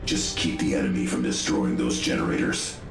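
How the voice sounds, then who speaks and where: A man playing a stern veteran space marine tech-priest in a video game, speaking formally in clipped military phrases with a deep, gravelly voice. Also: brisk, reverberant, over music. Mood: measured, formal.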